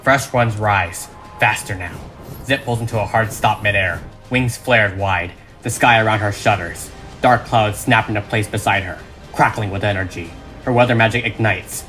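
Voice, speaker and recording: Bright, forceful male narrator over music. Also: fairly low pitch. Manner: emphatic, suspenseful delivery. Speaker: script reader and narrator. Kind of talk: dramatic scripted narration. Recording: music behind the voice.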